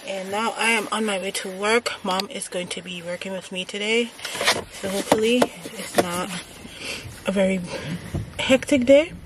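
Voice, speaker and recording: A woman's voice: mid-range voice, background noise. A woman in her forties with a Guyanese accent, chatting casually to her phone camera at arm's length while vlogging. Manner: relaxed, unhurried, chatty. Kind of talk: casual vlog chat to camera. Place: car and home kitchen. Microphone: handheld phone camera at arm's length.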